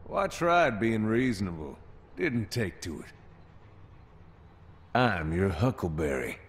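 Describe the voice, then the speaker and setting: Slow, low, drawling cowboy gunslinger. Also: male voice, very animated, heavily acted. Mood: dry menace. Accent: American Southern. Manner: laconic drawl, swaggering. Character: gruff, cocky Wild West cowboy gunslinger, adult man. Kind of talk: video game character voice lines.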